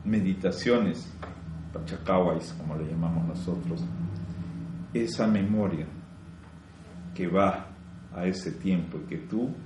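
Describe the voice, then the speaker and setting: Male spiritual teacher, low voice, echoey room, slow emphatic delivery, rhetorical questions. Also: noisy background. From Peru. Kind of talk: spiritual teaching talk to a group.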